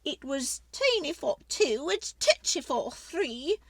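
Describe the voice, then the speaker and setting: A woman's voice: high-pitched, sing-song, slow, no room sound, voice pushed up, full character voice. A woman voicing a dramatic, exasperated little old lady in a children's storybook, with a theatrical, pleading manner. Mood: frantic, wailing. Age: older. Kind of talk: children's storybook read-aloud.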